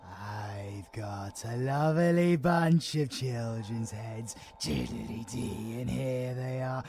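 Slow, creaky British supervillain. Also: male voice, mid-range voice, darkish tone, faint music behind. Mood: gleeful mocking. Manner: sing-song, taunting, savouring words slowly. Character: sadistic, gleeful cannibal supervillain monster, taunting and theatrical. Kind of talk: audio roleplay villain acting.

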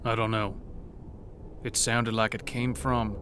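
A man's voice: fairly low pitch, flat delivery, unhurried, a bit bright, a little hiss or hum. An actor playing an uneasy, terse everyday man in a horror audio drama. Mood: tense.

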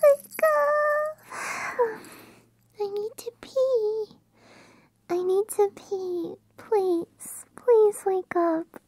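A woman's voice: extremely high pitch, very slow, long pauses, darkish tone, dry recording, full character voice. A young woman playing a clingy, playful puppy girl in an ASMR roleplay, whining and pleading to the listener. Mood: whiny pleading. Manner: whiny pleading with yips and giggles.

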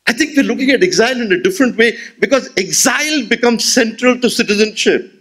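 A man's voice: very high voice, fast talker. A man in his sixties with an Indian English accent, giving a memorial lecture at a podium in an auditorium, speaking with rhetorical flair. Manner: expressive, rhetorical, gestures while speaking. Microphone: podium gooseneck mic.

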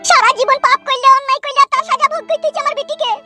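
Piercingly high, scolding cartoon wife. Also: female voice, steady tone, racing, bright, forceful tone, heavily acted. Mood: angry, scolding. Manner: shrill, accusing. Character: anxious, scolding wife of a politician, fearful mother. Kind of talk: comedy cartoon dialogue. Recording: music behind the voice.

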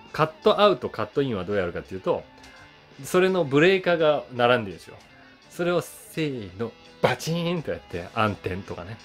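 A man in his forties talks casually to camera as if sharing old memories. His voice is on the low side and swings widely in pitch, with an animated, conversational delivery over faint guitar music. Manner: animated, conversational, acts out sounds with onomatopoeia. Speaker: voice actor and YouTuber telling memories. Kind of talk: casual monologue to camera, reminiscing. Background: soft guitar music.

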